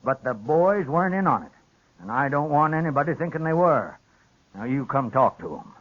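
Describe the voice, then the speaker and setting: An American actor playing a stubborn, plain-spoken old rancher with a rural western accent in a 1950s radio western. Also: male voice, on the high side, big pitch swings, moderate pace, fairly bright tone. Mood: insistent, protective. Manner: plain-spoken, folksy, defiant. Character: stubborn, blunt old rancher, protective father. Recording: dull vintage radio recording.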